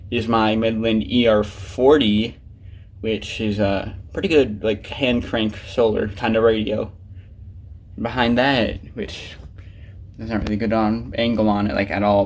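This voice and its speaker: A man giving a casual, unscripted show-and-tell of his collection, rambling offhandedly with muttered asides to himself. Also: on the low side. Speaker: collector presenting his radios. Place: indoors, at home by a desk. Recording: clearly noisy amateur recording.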